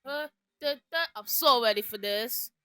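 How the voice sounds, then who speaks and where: A performer voicing a lovesick, boastful, foul-mouthed cartoon tomcat with a high, piercing voice and an excitable, stammering delivery. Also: normal speed, heavy background noise, lightly acted. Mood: excited. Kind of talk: improvised comedic cartoon fandub.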